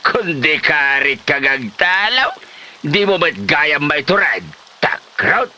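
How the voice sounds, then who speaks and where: A man's voice: fairly high pitch, lively intonation, slow, sharp tone, full character voice. A man playing a quarrelsome, stubborn old husband in an Ilocano comedy radio drama, speaking with an Ilocano accent in a bickering, exclamatory way. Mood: scornful.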